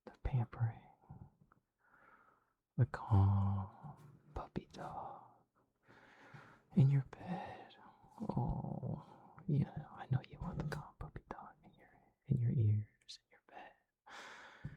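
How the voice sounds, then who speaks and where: A man whispers close and low, his voice breaking into a dry, gravelly fry. He coaxes softly and soothingly, like talking to a pet. The delivery stays hushed throughout. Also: takes it slowly, pauses often, muffled recording. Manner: soft, coaxing, soothing pet-talk. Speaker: ASMR creator with a dog persona. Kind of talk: whispered ASMR.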